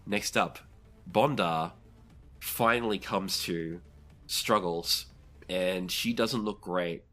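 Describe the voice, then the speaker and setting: A game master with a low voice narrates a tabletop role-playing game. The action comes in vivid, dramatic detail, mixed with plain conversational rulings. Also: slow, a bit bright, soft background music.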